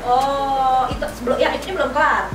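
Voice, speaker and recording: High-voiced female host, echoey room. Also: steady tone, a little fast, noisy background. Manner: inquisitive, echoes back answers. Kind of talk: casual chat interview. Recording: echoey, distant mic, music behind.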